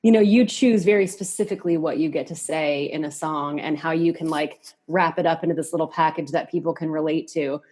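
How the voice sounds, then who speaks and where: A woman's voice: low voice, fairly even intonation, brisk, few pauses, darkish tone. A woman in her thirties chatting casually as a podcast guest, candid and giggly, peppering her talk with "like". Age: 30s. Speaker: podcast guest, musician and hairstylist. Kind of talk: casual chat.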